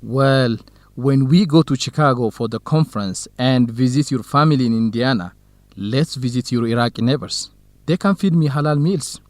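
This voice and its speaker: A friendly, curious male field researcher acted by a man in a radio drama. He explains things conversationally in a mid-pitched voice, recorded close and dry. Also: normal speed, dry recording, natural voice. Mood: lighthearted. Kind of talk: scripted educational radio drama dialogue.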